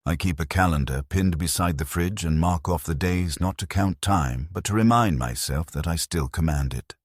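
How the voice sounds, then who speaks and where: An older man narrating a first-person story in a slow, measured and reflective way. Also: rumbling low, slightly rough, no echo, clean studio sound.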